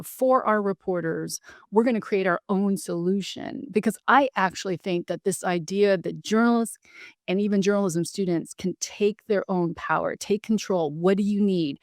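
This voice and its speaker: A woman's voice: medium pitch, creaky, no echo, clean studio sound. Woman host, friendly and explanatory. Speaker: podcast host. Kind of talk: interview hosting.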